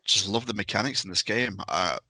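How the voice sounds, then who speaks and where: Bright, low-voiced enthusiastic man. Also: fairly quick, no room sound. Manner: enthusiastic, chatty. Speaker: podcast panellist. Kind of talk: casual review discussion.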